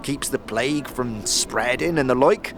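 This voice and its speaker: A man's voice: medium pitch, a little slow, a bit bright, soft background music. A man playing a cheery, chatty ragged corpse collector in an audio drama, upbeat, rambling and slangy. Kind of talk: audio drama character acting.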